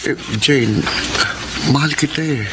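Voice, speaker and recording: An earnest young male suitor, played by an actor in a radio drama. His medium-pitched voice is pleading and lovesick. Loud noise covers the recording. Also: unhurried, close to an everyday voice. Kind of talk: Filipino radio drama acting.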